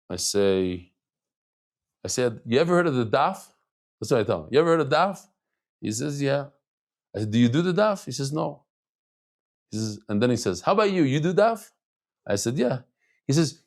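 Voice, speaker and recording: A middle-aged man teaching a casual daily Talmud class in a lively, chatty way, mixing in Hebrew and Yiddish terms. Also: a little high.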